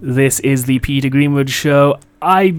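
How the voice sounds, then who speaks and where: A man's voice: mid-range voice, unhurried, smooth, soft background music. A man hosting a show, chatting casually as he interviews a guest.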